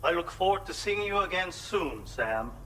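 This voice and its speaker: A man's voice: sits high, lively intonation, moderate pace, a bit bright, a little hiss or hum. A man playing a courteous, businesslike shopkeeper at an outpost in a video game, speaking politely in short, formal sentences. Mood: courteous, pleased. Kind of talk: scripted video game dialogue.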